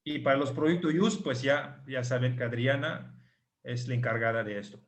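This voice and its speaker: A male clinic doctor, not a native Spanish speaker, patiently explaining details and slowly repeating numbers on an online group call. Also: mid-range voice.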